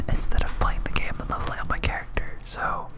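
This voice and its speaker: A gamer speaking in a breathy whisper, giving soft, rambling ASMR commentary while playing a video game. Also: a little fast, loud music behind the voice.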